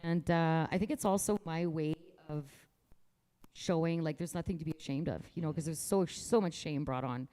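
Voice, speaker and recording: A woman's voice: low-pitched, moderate pace, mellow. A woman artist from rural Manitoba talking casually about her work in an interview, outspoken and proud, with frequent restarts. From rural Manitoba, Canada.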